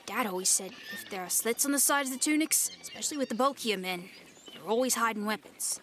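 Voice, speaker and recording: Woman acting a responsible youngster, sharp bright voice, slightly high, faint ambience, audio drama. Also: brisk, a little hiss or hum, lightly acted. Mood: serious. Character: watchful, responsible older sibling Mickey, a youngster.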